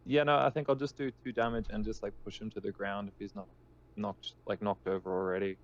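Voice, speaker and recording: A man's voice: lowish voice, level tone, brisk, lo-fi sound. A man playing a tabletop roleplaying game, talking casually and tentatively as he asks questions. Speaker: player in a tabletop RPG.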